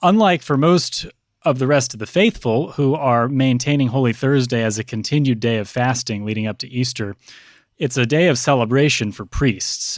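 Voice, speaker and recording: A man speaking as a priest guest on a podcast, explaining customs in a measured, halting, conversational way. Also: medium pitch, no room sound, studio quality.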